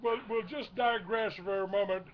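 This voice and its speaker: Guy hosting a chatty radio show, high voice, halting, restarts phrases, muffled lofi sound w a bit of noise. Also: steady tone. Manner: halting, repeats phrase openings. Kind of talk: casual talk show interviewing. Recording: dull lo-fi audio, noisy background.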